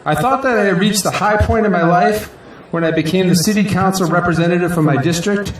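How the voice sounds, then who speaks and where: A man's voice: sits high, fairly quick, lightly acted. An actor playing a proud, idealistic male politician in an audio drama, giving a grandiose, earnest victory speech in declamatory rally style. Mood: humble, proud. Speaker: newly elected city council president. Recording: echoey hall, distant mic, crowd noise. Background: crowd and faint noise.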